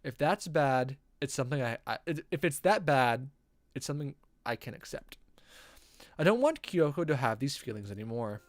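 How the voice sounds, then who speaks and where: Animated streamer reading a visual novel aloud. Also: mid-range voice, moderate pace, no room sound. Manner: theatrical, playful, stumbles over read text. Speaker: game streamer.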